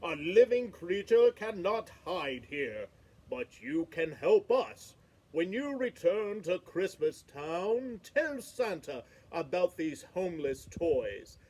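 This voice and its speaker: A woman's voice: fairly low pitch, very animated, slow pace, mellow, slightly rough, pitched below the performer's own voice, exaggerated voice, rough recording. A woman voicing the solemn male king of an island of lost toys in a storybook read-aloud.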